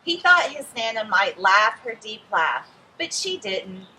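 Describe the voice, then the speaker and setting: A woman reads a picture book aloud for children in an expressive storytelling manner. Her voice is bright and she takes her time, speaking directly to young listeners. A little noise sits behind her. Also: mid-range voice, measured pace, poor audio. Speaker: children's read-aloud host.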